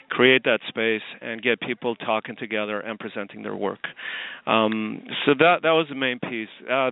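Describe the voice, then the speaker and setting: A man from Chile speaks as a guest on a call-in radio show, thinking aloud with frequent ums and self-corrections. His voice is smooth and resonant. It comes through a tinny, dry phone line. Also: mid-range voice, no echo. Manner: reflective, halting, many ums and restarts. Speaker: guest, artist and forum founder. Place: office.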